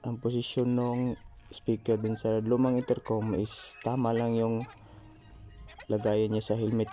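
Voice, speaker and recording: A man's voice: lowish voice, warm tone. A man with a Filipino accent narrating a casual how-to vlog, talking informally to viewers as his buddies. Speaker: vlogger.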